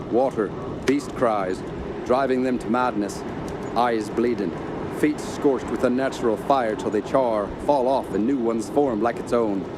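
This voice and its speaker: An actor playing a learned, middle-aged rationalist uncle in a radio horror drama, measured and lecturing, encouraging yet dismissive. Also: male voice, mid-range voice, close to an everyday voice. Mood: ominous, lecturing. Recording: clearly noisy outdoor ambience.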